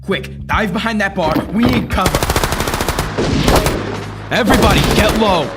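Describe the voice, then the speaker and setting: A tough private eye acted by a man from an old radio mystery. The voice sits slightly higher than average, with clipped, hard-boiled phrasing. Loud music runs behind it. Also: unhurried. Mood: urgent. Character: tough, protective 1940s-style male private detective. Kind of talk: old-time radio detective acting.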